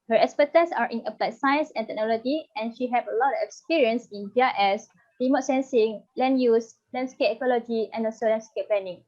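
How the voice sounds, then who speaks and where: A woman's voice: on the high side, brisk, darkish tone. A woman moderating an online webinar, reading out speaker introductions in an upbeat way. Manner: upbeat, reads speaker bios. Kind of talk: formal introductions. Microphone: video call. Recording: lo-fi video call audio.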